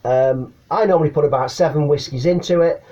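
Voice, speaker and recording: Slightly higher-voiced middle-aged Englishman, chatty. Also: brisk, warm tone, dull audio. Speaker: CB radio hobbyist giving advice. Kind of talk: casual chatty explainer to camera. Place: small attic room at home.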